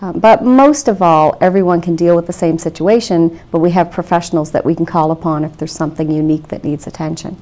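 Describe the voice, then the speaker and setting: A woman with a somewhat low, mellow voice, talking as a debt counselor on a television interview show. She explains in a measured, reassuring way and fills her pauses with "you know" and small restarts. The sound is a clean studio recording. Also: fairly even intonation, low-quality recording. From Canada.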